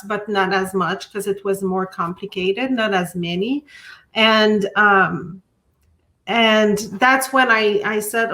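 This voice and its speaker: Slow-talking woman. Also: medium pitch, bright, forceful tone. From Quebec, Canada. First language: French. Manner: slow, hesitant, frequent ums and restarts. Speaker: art teacher and coach. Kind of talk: interview answers.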